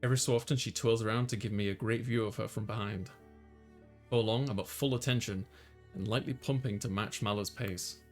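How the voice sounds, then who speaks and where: A man voicing an easygoing young student who narrates a visual novel in the first person, measured and conversational. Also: fairly low pitch, steady tone, faint music behind, natural voice. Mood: descriptive.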